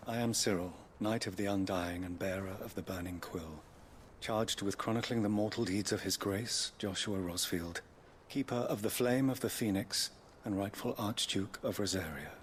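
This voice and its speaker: Man playing fantasy game knight, very deep, devout, deferential, archaic formal speech, hushed moments, faint background noise. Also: moderate pace, lightly acted. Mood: solemn, deferential. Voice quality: low voice, hushed at times. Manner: stately, deferential, archaic diction. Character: solemn devout male knight and chronicler of a secret order.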